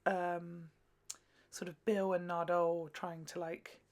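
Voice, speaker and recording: A man's voice: sits high, level tone, slow pace. A young British man hosting a reaction video in casual chat, with a slangy, playful, emphatic way of talking. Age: young adult. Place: small furnished room at home, indoors. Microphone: large desktop microphone between two speakers.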